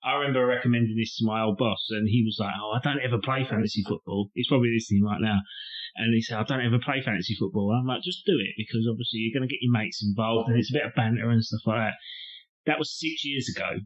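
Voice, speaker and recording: A man's voice: medium pitch, quick pace. A man co-hosting a podcast, chatty and telling stories with laughs. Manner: chatty, storytelling, laughs often. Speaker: podcast co-host. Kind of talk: casual chat. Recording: dull lo-fi sound.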